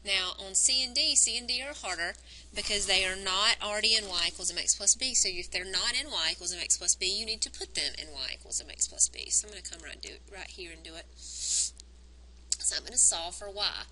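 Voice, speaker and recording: A woman's voice: mid-range voice, brisk, bright, forceful tone, a little hiss or hum, poor audio. Woman teacher counting points, losing her voice. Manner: explains step by step, counting points aloud. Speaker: math teacher. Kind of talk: recorded math lesson, working through graphs. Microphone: wired microphone on a cord.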